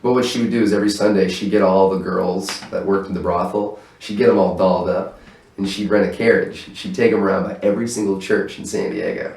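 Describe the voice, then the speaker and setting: A man's voice: deep voice, fairly even intonation, brisk, creaky, a little mellow. Chatty man, far off in a roomy space. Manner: loose and chatty, swears freely, laughs often. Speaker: stage manager interviewed as guest. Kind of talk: casual interview chat. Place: his own apartment room, indoors. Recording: roomy echo, voice far from mic.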